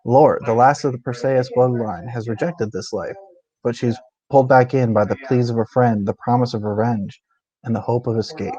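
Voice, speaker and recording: A man's voice: medium pitch, steady tone, normal speed, mellow, poor audio. A man co-hosting a book discussion stream in a casual, informal, conversational way. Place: indoors, live stream.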